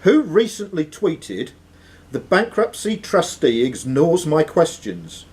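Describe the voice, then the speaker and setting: A man's voice: fairly high pitch, very animated, slow pace, a little mellow. A man reading quiz questions aloud as host of a live quiz. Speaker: quizmaster.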